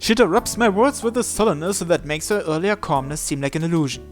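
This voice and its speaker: A man's voice: fairly high pitch, animated, quick pace. A man reading visual novel text aloud as dramatic first-person narration, with music behind him.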